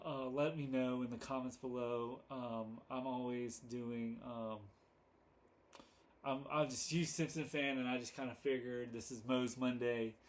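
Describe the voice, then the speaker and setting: A man hosting a casual, unscripted fan commentary video, halting and rambling as he goes, often correcting himself. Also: mid-range voice, steady tone. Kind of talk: casual unscripted chat to camera. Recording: noticeable background noise.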